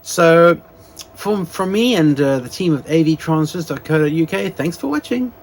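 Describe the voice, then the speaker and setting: Male video host, slightly high pitch, very animated intonation; chatty, unscripted show-and-tell with musing asides. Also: normal speed. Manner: chatty, musing aloud with self-corrections. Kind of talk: casual show-and-tell product demonstration.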